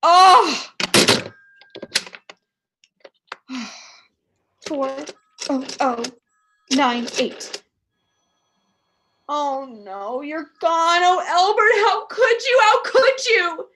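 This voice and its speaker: A woman's voice: extremely high pitch, lively intonation, very slow, pauses often, pushed and bright, exaggerated voice. A woman playing a nervous, demanding, self-pitying invalid wife alone at night in a suspense radio play. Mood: distraught.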